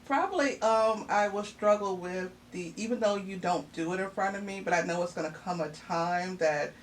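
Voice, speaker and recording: A woman's voice: mid-range voice, moderate pace. A middle-aged woman, a mother, talking casually and reflectively on a podcast, laughing often and using many fillers. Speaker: guest, mother of the host. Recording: distant mic with faint noise.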